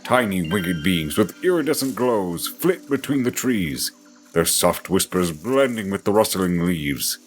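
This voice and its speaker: Male narrator for fantasy audio drama, theatrical storytelling, very animated range, clear musical backing. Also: low voice, unhurried, faint music behind.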